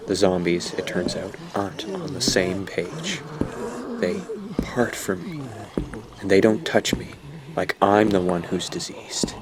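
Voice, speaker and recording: A man's voice: sits low, lively intonation, a little slow, a crowd in the background. A man playing a bitter, guilt-ridden young man who narrates a horror radio drama in a brooding, self-loathing way. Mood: grim, resigned.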